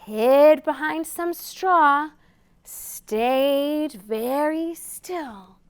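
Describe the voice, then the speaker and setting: A woman's voice: high voice, extremely slow. A woman hosting a storytime for young children, reading aloud expressively and prompting them with simple questions. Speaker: children's storytime host at a library.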